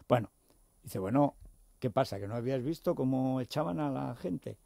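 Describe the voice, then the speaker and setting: A male talk show host with an accent from Spain holds forth on politics. His voice is creaky and recorded dry, and his delivery is emphatic, sarcastic and full of digressions. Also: medium pitch, lively intonation, moderate pace, dark tone, no echo. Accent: Spanish from Spain. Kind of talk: impassioned political discussion.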